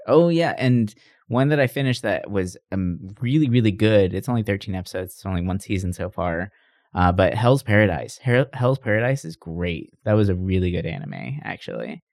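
A man's voice: low voice, dry recording, studio quality. A man co-hosting a podcast, chatting casually and giving recommendations in loose, informal phrasing. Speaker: podcast co-host. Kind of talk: casual chat, recommending anime.

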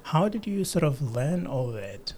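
A man's voice: on the high side, very animated, unhurried, a little mellow, a little hiss or hum. A young man hosting a podcast interview, chatty with hesitant phrasing. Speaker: podcast host.

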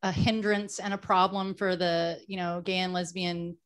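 A woman's voice: lowish voice, level tone, normal speed. A woman co-hosting a casual talk show, speaking emphatically and swearing freely. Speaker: podcast co-host. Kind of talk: casual opinionated chat.

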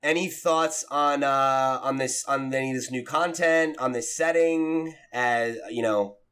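A man's voice: mid-range voice, measured pace, a bit bright, clear, resonant tone. A man hosting a podcast, reasoning aloud in casual, informal discussion. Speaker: podcast host.

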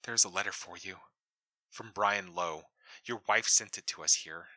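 A man voicing a female mission deputy speaking over a comm line, quick, breathless and sibilant, soft and close to a whisper. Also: low voice, no room sound, heavily acted. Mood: gentle. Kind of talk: audiobook character voice.

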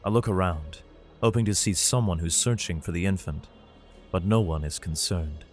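A man playing a weary father who narrates a science fiction audio drama in the first person, grave and measured. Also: deep voice, lightly acted. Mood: hushed, somber. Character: weary father fleeing with his son through a besieged hive city. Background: music.

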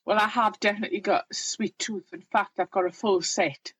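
A woman's voice: mid-range voice, steady tone, a little fast, fairly bright tone, husky, no echo. A woman in her fifties with a Geordie accent, telling her own story in a candid, plain-spoken, self-deprecating way as an interview guest.

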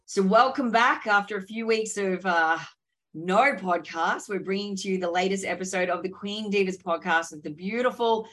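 A woman's voice: mid-range voice, moderate pace, a bit bright, soft background music. A woman in her thirties co-hosting a podcast over a video call, talking openly and emphatically while her voice is still recovering from a sore throat. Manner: chatty, emphatic, open. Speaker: podcast co-host. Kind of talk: casual chat.

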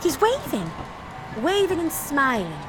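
A woman's voice: high-pitched, huge pitch swings, measured pace, background noise, heavily acted. A woman acting in an audio drama as a breezy, unflappable committee member, reassuring, matter-of-fact and wryly teasing. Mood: bright reassurance.

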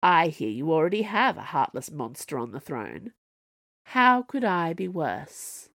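A woman's voice: deep voice, big pitch swings, unhurried, a bit bright, dry recording, clean studio sound, full character voice. A woman reading the part of a cold, cruel fairy-tale queen who drags out her words with a sneer. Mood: mocking.

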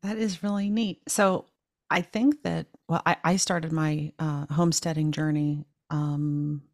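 A woman's voice: little pitch movement, unhurried, dry recording, clean studio sound. A woman hosting a farming podcast, introducing the show and asking upbeat questions. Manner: bright upbeat questions, pitch rising. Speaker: podcast host and farmer.

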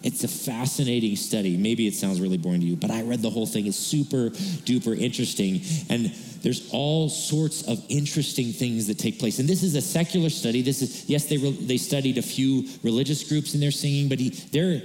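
A man preaching as a worship pastor, earnest and self-deprecating, with a loud voice, in a conversational sermon style. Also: medium pitch, a little fast, few pauses. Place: church sanctuary. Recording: echoey reverberant church hall.

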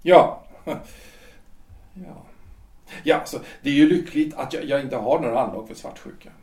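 Actor as stammering, anxious husband, echoing and distant. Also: male voice, medium pitch, darkish tone, lightly acted. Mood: bitterly joking. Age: middle-aged. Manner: stammering, repeating words, hesitant. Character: anxious, insistent middle-aged husband, lawyer and politician, 1906. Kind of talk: period stage drama as audio play. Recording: roomy echo, distant from mic.

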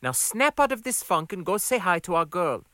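A self-assured woman in an audiobook, played by a man, pitched somewhat up and swinging expressively. The delivery is firm and teasing, pressing each point with a bright edge. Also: sing-song, normal speed, no echo, clean studio sound, heavily acted. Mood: firm, caring. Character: confident, needling adult woman, longtime diner friend.

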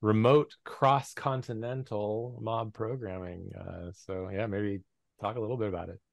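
A man hosting a casual panel chat, talking in a relaxed way with many filler words. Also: on the low side, measured pace, gravelly, no echo. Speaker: podcast host.

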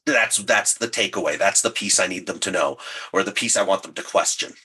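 A man's voice: low-pitched, brisk, sharp tone, breathy edge. A man who writes audio drama, chatting in an interview, explaining how he works in a self-deprecating way. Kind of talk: interview chat.

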